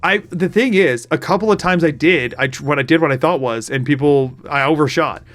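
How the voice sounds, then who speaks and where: A man's voice: on the high side, a little fast, fairly bright tone, faint music behind. A man with a Southern US accent, streaming and chatting casually in a rambling, self-interrupting way. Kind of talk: casual chat while gaming.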